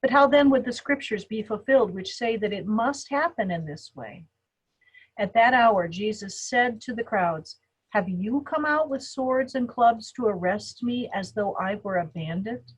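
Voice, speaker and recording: Slightly husky woman reading scripture. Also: fairly high pitch, lively intonation, unhurried, poor audio. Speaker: pastor. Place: indoors, on a video call. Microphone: video call microphone.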